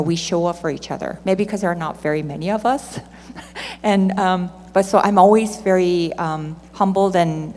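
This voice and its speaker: Woman panelist, lower register, informal introduction, recorded in an echoing hall with slight hum. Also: a little mellow. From Southern California. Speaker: nonprofit executive director, panelist. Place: large reverberant hall at a conference. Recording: noisy hall with long reverb, hum.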